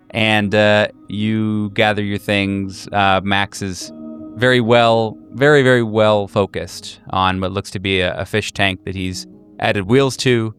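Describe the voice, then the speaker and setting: Deep-voiced male game master. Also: fairly bright tone. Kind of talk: casual improvised game narration.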